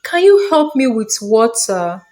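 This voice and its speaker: A humble old village man from a folktale, played by a woman, gentle and polite. He speaks slowly, in a high, lilting voice, pleading and thankful. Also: very animated. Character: humble, thirsty, grateful elderly village man.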